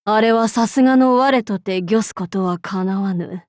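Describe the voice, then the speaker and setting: A woman's voice: mid-range voice, normal speed, a little mellow, no echo, studio quality, natural voice. A woman voicing an imperious, haughty demon-king warlord in a mobile game, speaking in pompous, archaic lordly Japanese phrasing. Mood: composed, lordly.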